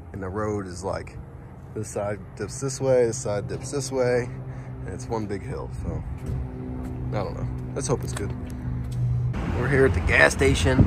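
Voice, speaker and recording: A young man with a stuffed-up nose, filming his car outdoors on a phone and thinking aloud in a relaxed, rambling way. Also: mid-range voice, noisy background. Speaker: car vlogger. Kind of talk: casual hands-on car vlog. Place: outdoors on a residential street and at a gas station. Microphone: handheld phone camera.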